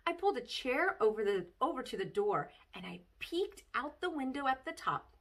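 A woman voicing a silly, excitable, mischievous little girl telling her story from a children's storybook, in a dramatic, bubbly manner. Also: high voice, moderate pace, voice pushed up, full character voice. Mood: bragging, chatty. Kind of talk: expressive children's storybook read-aloud.

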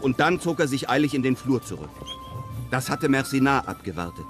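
An actor playing a European traveller who narrates his desert adventures in a measured, literary way. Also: mid-range voice, very animated, over music, close to an everyday voice. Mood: measured storytelling. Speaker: narrator of an audio drama. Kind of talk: scripted first-person adventure narration.

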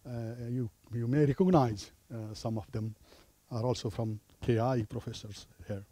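A middle-aged man from Nepal giving an academic lecture as a professor of obstetrics, speaking in a measured, hesitant way with fillers. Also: mid-range voice, steady tone, dark tone, no room sound. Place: lecture room with a projector.

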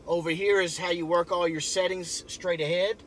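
A man's voice: sits high, fairly even intonation, moderate pace, fairly bright tone. A middle-aged man giving a casual, folksy walkaround from inside a pickup truck cab. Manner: folksy, informal. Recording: camera audio with cabin noise.

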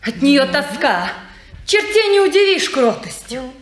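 An actress playing an ironic, teasing young daughter with a sharp tongue, in a 1950s radio drama. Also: female voice, sits high, very animated, unhurried. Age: young adult. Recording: echoey old radio studio recording.

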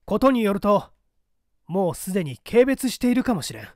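A man's voice: high-pitched, big pitch swings, normal speed, darkish tone, no echo, studio quality. A man voicing a defiant, sardonic party operative in an audiobook drama, arguing in formal, rhetorical speech. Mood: scornful. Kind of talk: dramatic audiobook character dialogue.

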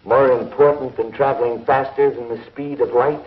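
A man's voice: medium pitch, fairly even intonation, normal speed, close to an everyday voice. A young man acting a bold, confident space pilot in a 1950s TV science fiction serial. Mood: determined. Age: young adult. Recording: muffled old film soundtrack with music.

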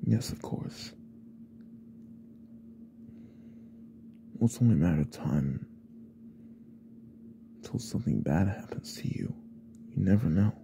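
A man playing a possessive, obsessive young classmate in an ASMR roleplay, speaking in a hesitant, breathy half-whisper. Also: low voice, expressive, moderate pace, long pauses, a little hiss or hum. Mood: hushed, evasive. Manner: hesitant, hushed, probing.

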